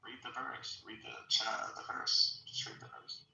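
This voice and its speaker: A man's voice: on the low side, steady tone, a little slow, creaky, bright, forceful tone, reverberant, far from the mic. A man in a casual debate chat who talks bluntly, mocking and swearing.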